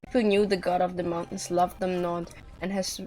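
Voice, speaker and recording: A woman's voice: fairly low pitch, flat delivery, normal speed, dark tone. A woman streaming a video game live, speaking informally and bluntly, sometimes reading aloud.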